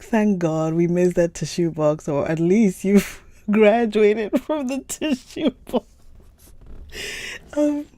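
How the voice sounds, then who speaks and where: A woman's voice: on the low side, mellow, no room sound. Easygoing woman chatting on a livestream. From Ghana. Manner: chatty, giggly, greets viewers by name. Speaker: livestream book-reading host. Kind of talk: casual livestream chat with viewers. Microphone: phone or computer stream mic.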